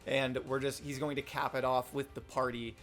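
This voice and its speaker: A young man with a slightly hoarse, worn voice talks loosely in a mid-range pitch, trailing off and restarting his sentences as he thinks aloud. Faint music plays softly underneath. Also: flat delivery, normal speed. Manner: rambling, many fillers and restarts. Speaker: manga review vlogger. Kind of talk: casual unscripted commentary.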